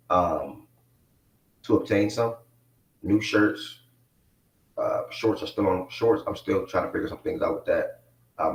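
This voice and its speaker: A man in his twenties hosting a live sports talk show in a loose, rambling way with plenty of fillers. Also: low-pitched. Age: 20s. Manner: rambling, laid-back, many fillers. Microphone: mic not connected, picked up from a distance. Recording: echoey room, voice far from mic.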